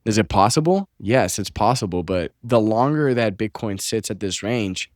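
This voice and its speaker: A young man with a lowish voice and a slightly stuffy nose gives casual market commentary. He talks loosely, with plenty of fillers and restarts. The recording is dry and close, with no room sound. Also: lively intonation, normal speed, darkish tone, dry recording. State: stuffy, a bit under the weather. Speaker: finance channel host. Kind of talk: casual commentary on screen charts.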